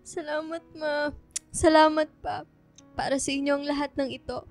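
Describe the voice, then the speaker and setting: College-age daughter, radio drama, high pitch, affectionate, dutiful, soft music underneath. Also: female voice, level tone, normal speed. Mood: tender. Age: young adult. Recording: music behind the voice.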